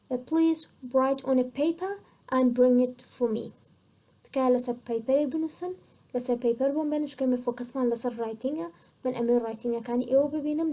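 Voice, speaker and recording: A woman with a Kurdish accent giving a recorded English lesson, with slow, deliberate English and quick Kurdish explanations. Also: high voice, warm tone. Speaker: university English teacher. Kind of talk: recorded lecture.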